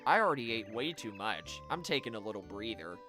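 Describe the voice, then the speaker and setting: Man voicing a lively, gluttonous little party guest. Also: lowish voice, a little fast, faint music behind. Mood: jolly, greedy.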